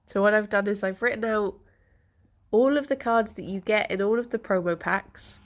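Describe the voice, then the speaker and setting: A young woman streamer with a congested, bunged-up voice, chatting softly with viewers and laughing often. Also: medium pitch, moderate pace. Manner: soft, chatty, laughs often, greets chat by name. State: congested, stuffy nose. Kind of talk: casual morning chat with viewers.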